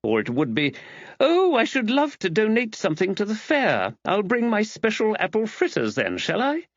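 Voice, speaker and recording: An arch village woman priest, voiced by a male narrator, pitched high and swooping as she gossips. Her mimicry is wry and exaggerated, in storybook dialogue with slightly dull sound. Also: wildly swooping pitch, normal speed, no echo, exaggerated voice. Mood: mocking. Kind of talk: audiobook character dialogue.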